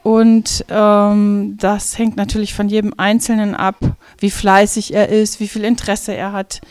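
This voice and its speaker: A woman's voice: mid-range voice, little pitch movement, a little slow, a little mellow, clear, resonant tone, no room sound. A middle-aged woman teacher answering interview questions, explaining patiently.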